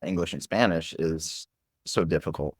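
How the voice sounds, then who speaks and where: Deep-voiced guy hosting a podcast, stop-start questions, dry close mic. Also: no room sound. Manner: halting, restarts mid-question. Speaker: podcast host. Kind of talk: conversational interview questions.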